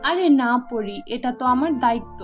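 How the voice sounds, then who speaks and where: A woman's voice: fairly high pitch, lively intonation, moderate pace, fairly bright tone, faint music behind. A woman voicing a kind, patient young seamstress, polite and earnest, in a children's cartoon. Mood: pleased.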